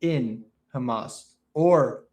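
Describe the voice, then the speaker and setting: A man's voice: mid-range voice, drawn-out words, darkish tone. A man on a live stream call, arguing guardedly with the host. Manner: guarded, pushes back with short retorts. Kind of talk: debate with a host.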